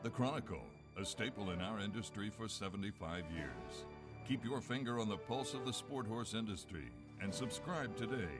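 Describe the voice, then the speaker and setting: Deep-ish guy doing the broadcast voice-over, formal and measured, music bed under it. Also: animated. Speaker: announcer. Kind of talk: scripted broadcast narration.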